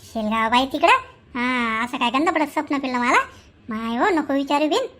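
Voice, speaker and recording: A woman's voice: high-pitched, animated, moderate pace, a bit bright. A woman voicing an indignant, nagging middle-aged village mother in a comic cartoon, with a Khandeshi Marathi accent. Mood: shaken, dazed. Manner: nagging, exclamatory, rural colloquial.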